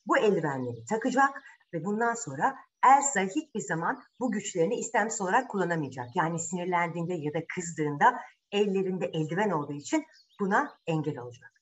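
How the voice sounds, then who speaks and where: A woman's voice: lowish voice, expressive, fast, recorded from a distance, close to an everyday voice. A woman voicing a wise, stern troll healer who gives grave instructions in a children's fairy tale. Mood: stern, warning.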